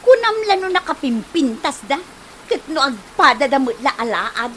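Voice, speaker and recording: A woman's voice: high-pitched, very animated, normal speed, fairly bright tone, slight background noise. A woman acting an envious, snappish village wife in an Ilocano comedy drama, scolding and sneering with an Ilocano accent. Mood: bitter, scolding.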